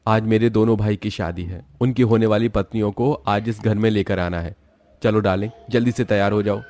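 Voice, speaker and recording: A man's voice: fairly low pitch, steady tone, brisk, darkish tone, no echo, close to an everyday voice. A man playing an apologetic young newlywed son in a Hindi cartoon story, explaining and coaxing.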